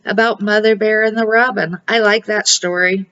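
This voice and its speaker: A woman's voice: mid-range voice, steady tone, moderate pace, a bit bright, clear, resonant tone, dull audio, natural voice. A woman voicing a cheerful, polite little boy bear cub in a storybook reading. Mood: playful.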